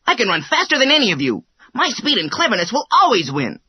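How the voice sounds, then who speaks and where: Woman as a bright, swooping, cocky cartoonish hare. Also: on the high side, wildly swooping pitch, fast talker, slightly rough, heavily acted. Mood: boastful. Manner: cocky, exclaiming, scornful. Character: boastful, energetic, mocking young male hare. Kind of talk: children's storybook character acting.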